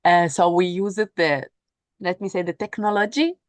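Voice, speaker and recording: A woman whose native language is Arabic, speaking unscripted as a panelist, haltingly searching for words and repeating phrases. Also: lowish voice, no echo. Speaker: panel speaker, humanitarian sea rescue worker. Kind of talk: unscripted panel talk about work experience.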